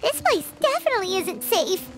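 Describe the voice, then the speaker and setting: A woman's voice: extremely high pitch, sing-song, normal speed, heavily acted. A woman voicing a small, chatty, blunt fairy companion in a video game, with an exclamatory, casual delivery. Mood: surprised, wary. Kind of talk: scripted video game voice acting. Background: music.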